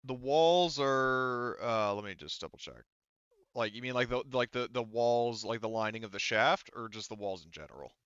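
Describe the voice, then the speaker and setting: A man running a tabletop game as game master, narrating casually in a halting, rambling way full of filler phrases. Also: medium pitch, a bit bright, clear, resonant tone, dry recording, lo-fi sound. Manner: halting, rambling, frequent "like" and "you know"; casual swearing.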